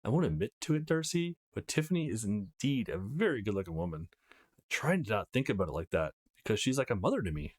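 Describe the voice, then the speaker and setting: A man's voice: lowish voice, sing-song, quick pace. A man playing an easygoing, mildly exasperated young male protagonist of a visual novel, casual and dry.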